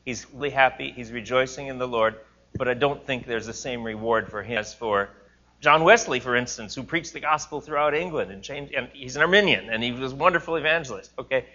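Male teacher, bright tone, earnest lecture style, muffled recording. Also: medium pitch, brisk. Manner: earnest, thinking aloud with restarts. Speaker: teacher leading a class discussion. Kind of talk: classroom lecture with questions.

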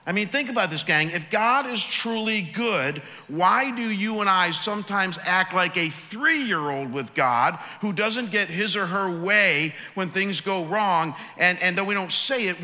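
Male pastor with a head cold, bright forceful tone, long hall reverb, preaching. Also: sits high, a little slow, few pauses. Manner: conversational, rising to emphatic questioning. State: congested with a cold. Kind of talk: sermon.

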